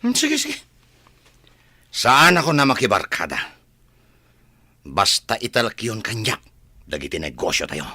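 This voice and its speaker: Bitter, husky adopted son. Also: male voice, medium pitch, huge pitch swings, a little slow, pauses often, pushed and bright, dry recording, clean studio sound, exaggerated voice. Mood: bitter, demanding. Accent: Ilocano. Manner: confrontational, insistent. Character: resentful adopted adult son, demanding and bitter. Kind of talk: Ilocano television drama acting.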